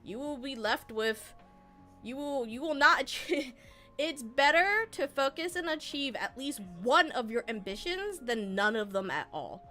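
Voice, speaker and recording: A young woman streamer, Vietnamese by first language, talks to her chat in a bright, slightly high voice. She gives earnest, rambling advice, stopping to restart her sentences, while faint music plays behind her. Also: lively intonation, a little slow.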